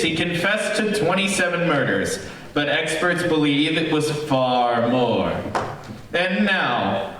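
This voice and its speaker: A man's voice: on the high side, a bit bright. A man announcing radio plays on a theater livestream with a scripted, dramatic introduction. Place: theater stage. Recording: echoey theater, distant mic, faint noise.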